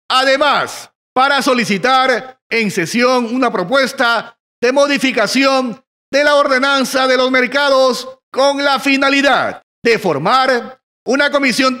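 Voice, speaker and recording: A man's voice: extremely high pitch, bright, forceful tone. A man reading a scripted news voice-over as a reporter, in an emphatic announcer style.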